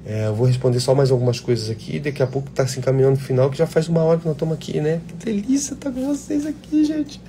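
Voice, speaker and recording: Actor guy giving blunt fired-up advice on a live, mellow voice, faint hiss behind. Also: a little high, expressive, fast, hardly any pauses. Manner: blunt, swears freely, passionate. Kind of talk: casual live chat answering viewer questions.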